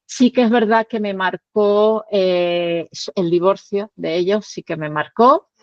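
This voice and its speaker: A woman's voice: mid-range voice, slow, no room sound. Middle-aged woman pastor, chatty and reflective with easy laughs; dull, dry recording. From Tenerife, Canary Islands. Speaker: pastor and guest. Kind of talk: warm personal interview conversation. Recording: dull lo-fi sound.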